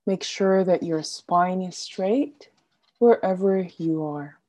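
A woman guiding a relaxation meditation as an instructor, gently and soothingly, with deliberate instructions and softly trailing phrase endings. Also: lowish voice.

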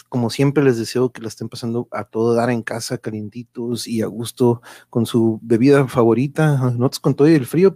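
A man with a Mexican Spanish accent and a medium-pitched voice hosts a casual live stream. His talk is slangy and loosely strung together, wandering from point to point. The sound is close and dry. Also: dark tone, dry recording. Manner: informal, slangy, rambling. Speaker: host of an online radio drama show.

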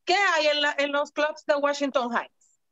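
A woman's voice: high-pitched, measured pace, a bit bright, no echo, dull audio. A woman with a Dominican Spanish accent, talking and explaining in a casual panel chat. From Dominican Republic.

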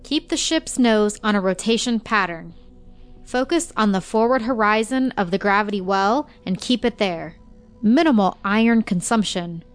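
A woman voicing a calm, commanding female starship pilot who gives terse orders, in a sci-fi audiobook. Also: mid-range voice, moderate pace, clear, resonant tone, faint music behind, lightly acted.

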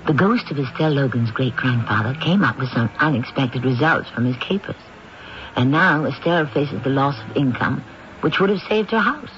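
A man hosting and narrating a radio drama in a measured, wry storyteller's manner. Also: medium pitch, expressive, a bit bright, highs cut off, a little hiss or hum. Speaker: host narrator. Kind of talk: scripted narration. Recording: old radio broadcast with music behind.

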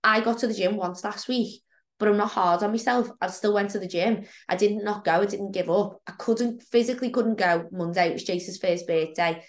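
A woman, a fitness coach co-hosting a podcast, chatting frankly with a croaky voice from a cold. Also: mid-range voice, fairly quick. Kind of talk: casual podcast chat.

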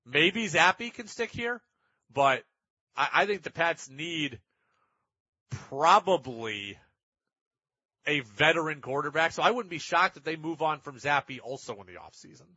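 A man's voice: on the high side, animated, a little slow, pauses often, sharp tone, slightly rough, no room sound, dull audio. A man hosting sports talk radio, giving opinionated commentary in an emphatic way and repeating points for effect.

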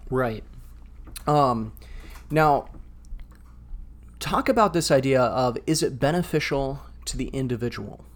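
Man, mid-pitched, earnest podcast co-host who restarts his sentences and stammers while thinking aloud. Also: lively intonation, slow pace, long pauses. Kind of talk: casual discussion podcast.

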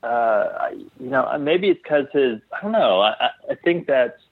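A man speaking as a guest in a casual interview, in a halting, tentative way with trailing sentences and restarts, over a call. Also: mid-range voice, lively intonation, no room sound. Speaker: interview guest, clothing designer. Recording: tinny phone-like line.

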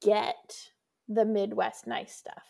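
A woman's voice: medium pitch, monotone, slow, vocal fry, a little mellow. A woman from Colorado co-hosting a casual podcast in a laid-back way, often answering in short agreements. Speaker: podcast co-host.

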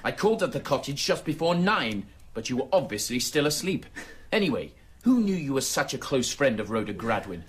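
An actor playing a mild, defensive gentleman in a radio drama, polite and reasonable as he explains himself. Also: medium pitch, big pitch swings, fairly quick, breathy edge. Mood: placating. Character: mild, defensive, well-mannered gentleman cousin.

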